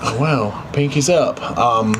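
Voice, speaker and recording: A man's voice: medium pitch, measured pace, background noise. A man talking casually and playfully as a travel vlogger. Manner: playful, animated. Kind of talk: casual vlog chat.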